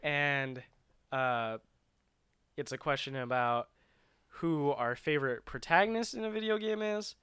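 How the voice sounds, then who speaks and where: A man's voice: on the high side, unhurried, long pauses, fairly bright tone, clean tone, no echo, studio quality. A man co-hosting a show, chatting casually about video games in a rambling, loosely explained way. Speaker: video game show co-host.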